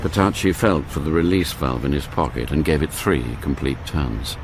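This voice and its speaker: An actor as the grave narrator of a radio spy thriller, telling the story in a measured, scripted way. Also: male voice, rumbling low, lively intonation, a loud crowd. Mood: grave, tense. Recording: loud music and engine effects behind.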